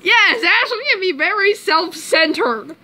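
A woman's voice: very high-pitched, expressive, measured pace, heavy background noise. A young woman bantering and teasing her sister in a dim bedroom, filmed on a phone at arm's length. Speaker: vlogger. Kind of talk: casual chat. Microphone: phone held at arm's length.

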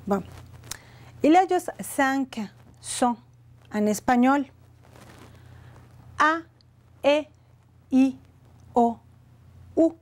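A woman in her forties, a native Spanish speaker with a Mexican accent in French, teaching a beginner language lesson in a deliberate, carefully enunciated way. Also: high voice, animated. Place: indoor studio set with chalkboard. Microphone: lapel.

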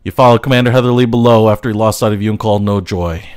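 A man's voice: lowish voice, steady tone, rapid-fire, darkish tone, clean tone, no echo, clean studio sound, natural voice. Guy doing a stern navy boss laying down the rules, clipped, hard. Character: stern male navy commander. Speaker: commanding officer. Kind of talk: 1980s film acting.

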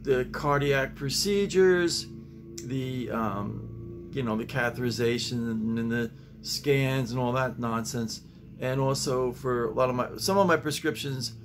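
An older man around seventy with a plain, halting delivery, talking and reading at his desk at home. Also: mid-range voice, music in the background. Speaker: YouTube host and playwright reading own work. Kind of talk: script reading and casual vlog talk. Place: small home office, indoors.

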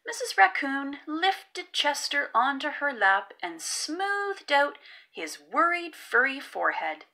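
A woman narrates a children's story. Her voice is fairly high and bright, and she reads with careful, expressive storytelling and widely moving pitch. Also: slow pace. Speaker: read-aloud storyteller. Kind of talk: children's picture book narration.

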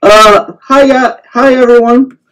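A man's voice: extremely high pitch, steady tone, takes it slowly, a bit bright, soft background music. A man with an American accent chatting casually as a call-in guest over an internet audio call. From United States. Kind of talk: casual chat. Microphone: remote internet audio call. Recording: dull, band-limited internet call audio.

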